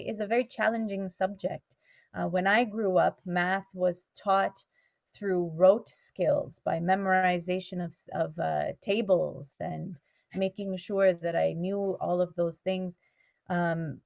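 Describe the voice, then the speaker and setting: A woman's voice: fairly low pitch, creaky, darkish tone. A woman, a teacher from Canada, patiently answering students' questions step by step on a video call. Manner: patient, explains things step by step.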